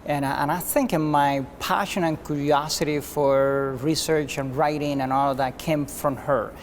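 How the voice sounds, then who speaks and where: A man from Cuba, a physician guest, answering interview questions in an earnest, measured way with frequent restarts. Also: fairly high pitch, steady tone, a little hiss or hum.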